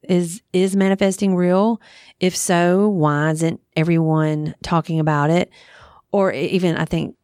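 A woman's voice: low-pitched, gravelly, a little mellow, no echo, studio quality. A woman in her thirties or forties hosting a podcast in a casual, frank way, thinking aloud as she goes. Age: 30s to 40s. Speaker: podcast host. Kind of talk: casual conversational podcast chat.

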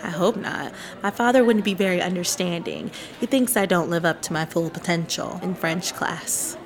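A woman playing a curious, opinionated, teasing teenage prep school girl in a radio drama, with an expressive, gossipy, sarcastic delivery. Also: lowish voice, normal speed, natural voice. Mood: grumbling.

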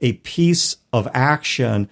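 A man's voice: mid-range voice, expressive, takes it slowly, gravelly, a bit bright. An American man co-hosting a discussion podcast, explaining his points in long, carefully qualified sentences. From United States. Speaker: podcast co-host. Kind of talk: conversational discussion of role-playing games.

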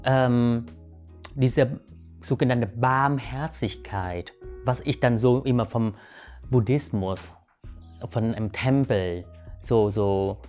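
Halting man. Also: mid-range voice, a little mellow. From Vietnam. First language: Vietnamese. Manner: halting, many restarts and fillers, asks "weißt du". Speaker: guest. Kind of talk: reflective personal storytelling.

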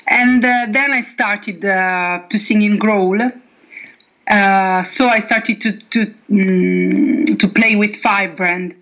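A young woman with an Italian accent, answering interview questions haltingly, with many pauses and restarts. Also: mid-range voice, steady tone, a bit bright. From Italy. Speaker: metal band singer.

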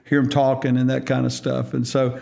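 A man hosting a church podcast, chatting in a relaxed, folksy way and affirming his guest often. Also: mid-range voice, a little fast, vocal fry. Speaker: podcast host. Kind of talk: casual church podcast interview.

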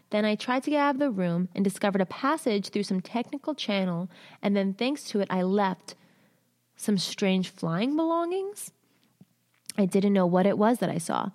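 A woman hosting a show, reading a listener's submitted story aloud in short halting phrases, then chatting candidly with casual slang. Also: medium pitch, darkish tone. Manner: candid, uses swearing and slang. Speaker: host reading listener stories.

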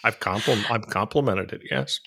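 A man's voice: on the low side, moderate pace, gravelly, a bit bright, slightly rough. A man hosting a casual interview, laughing often and bantering with his guests. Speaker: podcast host.

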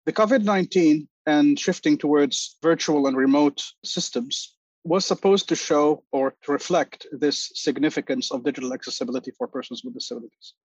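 A man in his forties from Lebanon, giving a measured, formal talk as a panel speaker. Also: on the high side, husky, no echo, highs cut off. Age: 40s. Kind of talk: prepared talk on a video call.

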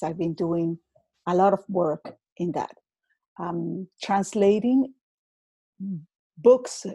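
Woman, Venezuelan accent, native Spanish speaker, reflective podcast guest, mellow, slightly husky. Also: lowish voice, no room sound. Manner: slow, reflective, with many fillers and repeats. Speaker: guest, business coach. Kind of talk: casual interview conversation.

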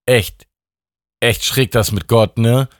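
A man's voice: mid-range voice, steady tone, slow pace, dry recording, voice pushed up, lightly acted. A man voicing a tired, down-to-earth young woman who talks in a dry, sceptical way. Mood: wary.

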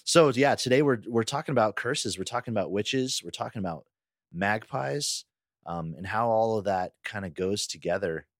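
A man's voice: on the low side, expressive, normal speed, no room sound, studio quality. A man co-hosting a podcast in a casual, conversational style. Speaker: podcast co-host.

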